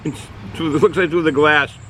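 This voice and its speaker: A middle-aged man with a Boston accent, casually reviewing a beer outdoors, rambling and halting with many fillers. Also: on the high side, fairly even intonation, a bit bright, slight background noise, rough recording. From Boston area, United States. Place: outdoors, sun in his eyes.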